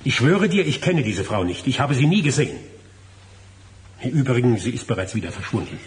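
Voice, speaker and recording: An actor in a German radio play voicing a nervous, evasive lawyer and distracted husband, hesitant and often repeating himself. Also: male voice, mid-range voice, big pitch swings, brisk, close to an everyday voice. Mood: defensive, agitated.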